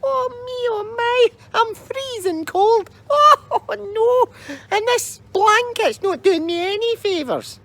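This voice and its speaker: Squeaky wailing old lady. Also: animated, slow pace, a bit bright, no echo, exaggerated voice. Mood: fretful. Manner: wailing, whimpering sighs and moans.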